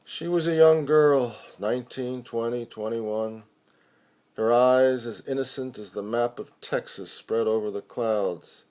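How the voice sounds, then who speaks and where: A man reads poetry aloud in a mid-pitched voice. His delivery is very slow and measured, each phrase set down deliberately, with expression in the recitation. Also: a little mellow. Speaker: poetry reader.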